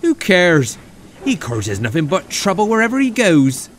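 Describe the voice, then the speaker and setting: A man's voice: high voice, wildly swooping pitch, unhurried, a bit bright, a little hiss or hum, voice pushed up, heavily acted. A man voicing a grumpy, self-important male tank engine in a children's story, huffy and emphatic as he complains. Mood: scornful.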